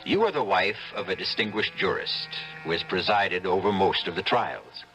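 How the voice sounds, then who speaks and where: An actor as a wry, ominous host narrating an old-time radio mystery, deliberately addressing the listener. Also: male voice, low voice, fairly bright tone, dull audio, slight background noise. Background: music.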